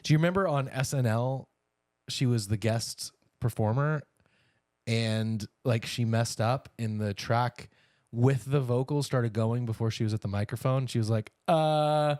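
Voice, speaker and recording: A man's voice: medium pitch, unhurried, a little mellow, no room sound, clean studio sound. A middle-aged man co-hosting a podcast in casual banter, teasing and playful as he talks. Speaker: podcast co-host.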